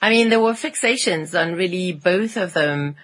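A woman's voice: on the low side, normal speed, fairly bright tone. A woman on a podcast panel talking casually about fandom in a reflective, analytical way.

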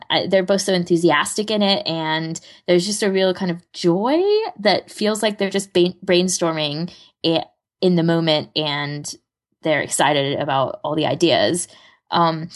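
A woman chatting casually as a podcast co-host, talking through her recommendations in an informal way. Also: on the low side. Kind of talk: casual chat, recommending fan fiction recordings.

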